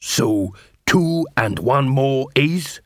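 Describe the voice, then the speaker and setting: Man playing a deliberate, grumbling dwarf watchman. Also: mid-range voice, animated, dry recording, clean studio sound. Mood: instructive. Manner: deliberate, instructive.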